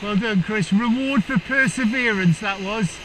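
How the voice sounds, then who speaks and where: Middle-aged angler with a high voice, wry and relaxed, recorded on a body cam over roaring waves. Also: male voice, measured pace. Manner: relaxed, wry, understated commentary. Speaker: fishing vlogger. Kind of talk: casual narration while fishing. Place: open shingle beach by crashing surf. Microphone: action camera worn on the body. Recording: outdoor action cam with loud surf. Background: loud waves and wind.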